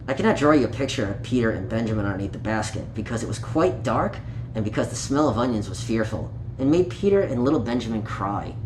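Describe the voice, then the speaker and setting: A man reading a children's story aloud in a playful, child-directed way, chatting to his young listeners as he goes. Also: mid-range voice. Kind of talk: read-aloud children's picture book with playful asides. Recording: roomy home recording with faint noise.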